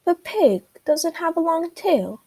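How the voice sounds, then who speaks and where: A woman's voice: extremely high pitch, sing-song, slow pace, a little mellow, voice pushed up, full character voice. A woman voicing a confident, inquisitive male duck detective in a children's storybook. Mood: deducing, confident. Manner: inquisitive, explanatory, exclaiming.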